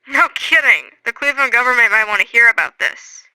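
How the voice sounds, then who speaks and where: A woman playing an excitable skywatcher in an audio drama. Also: fairly high pitch, a little fast, dry recording, rough recording. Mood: alarmed.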